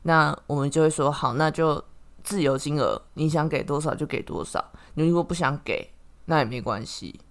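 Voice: medium pitch, normal speed. A podcast host with a Taiwanese Mandarin accent, chatting casually while explaining things in a reflective way. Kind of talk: casual chat.

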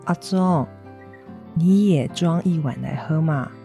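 A woman with a Taiwanese accent playing a gentle, apologetic mother recovering from surgery, speaking tenderly in an educational radio drama. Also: sits low, takes it slowly, vocal fry, faint music behind, full character voice. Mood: caring, insistent. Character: gentle, apologetic convalescing mother of a teenage boy. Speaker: amateur voice actor, schoolteacher.

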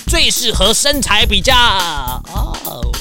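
A woman's voice: medium pitch, sing-song, slow, over music. A woman voicing a whiny, impatient rich man who loves cars, grumbling and showing off dramatically in a children's detective audio drama. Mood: eager, boastful. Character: whiny, impatient rich male car lover.

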